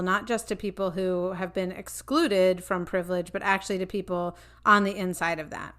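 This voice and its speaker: Bright, smooth-voiced woman podcast host. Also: mid-range voice. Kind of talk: conversational interview.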